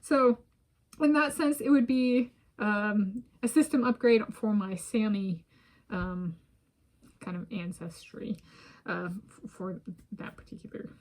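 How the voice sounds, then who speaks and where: A woman's voice: medium pitch, slow pace, darkish tone. A woman from the United States talking casually to her own camera, rambling with many restarts and small laughs. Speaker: vlogger. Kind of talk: casual solo talk to camera. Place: home in a residential area. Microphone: self-filmed camera.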